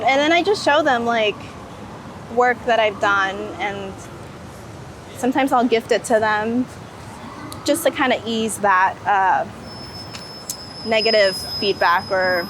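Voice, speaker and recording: A woman's voice: medium pitch, slow pace, long pauses. A woman chatting informally and casually in an interview outdoors on a city street. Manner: informal, chatty, laughs easily. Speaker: street photographer, guest. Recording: noisy city street with traffic.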